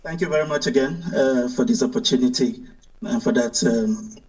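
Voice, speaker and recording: A man with a Nigerian accent, explaining as an interview guest in a deliberate, halting, emphatic way, recorded at some distance from the mic. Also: mid-range voice, darkish tone. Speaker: interview guest, newspaper editor and journalist. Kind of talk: conversational interview commentary.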